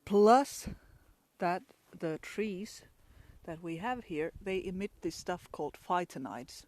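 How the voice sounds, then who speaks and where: A woman's voice: lowish voice, slow, a little mellow, no room sound. A woman from Finland with a Finnish accent, chatting casually outdoors in a pine forest for a travel vlog and laughing often between restarts. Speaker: travel vlogger.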